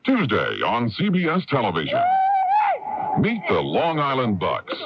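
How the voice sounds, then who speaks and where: A man's voice: mid-range voice, huge pitch swings, measured pace, over loud music. A man announcing a television promo in a 1980s voice-over style. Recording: lo-fi radio recording, crowd noise behind.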